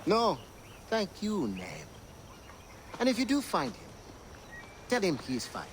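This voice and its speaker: A man's voice: sits high, wildly swooping pitch, measured pace, slight background noise, heavily acted. An actor playing a flamboyant, flirtatious man who teases with a sing-song, whispery manner in a game cutscene. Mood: playful. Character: flamboyant flirtatious male employer, teasing.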